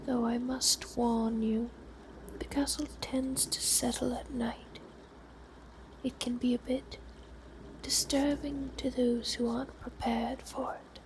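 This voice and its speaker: Female performer for gracious vampire countess: hushed breathy whisper, unhurried pace, old-world formality, intimate ASMR roleplay, some background hiss. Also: fairly high pitch, flat delivery, rough recording. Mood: courteous, reassuring. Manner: formal, genteel, old-fashioned phrasing. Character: elegant, lonely vampire countess, gracious and sly hostess. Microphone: close binaural microphone. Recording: close binaural whisper with audible hiss.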